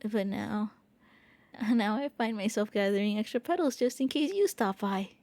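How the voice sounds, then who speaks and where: Woman as a soft, mellow, gentle vampire prince. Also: medium pitch, normal speed, close to an everyday voice. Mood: warm, eager. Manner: gentle, hesitant, intimate, stammering when flustered. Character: gentle, shy, eager young-looking immortal vampire prince. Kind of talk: soft ASMR roleplay monologue.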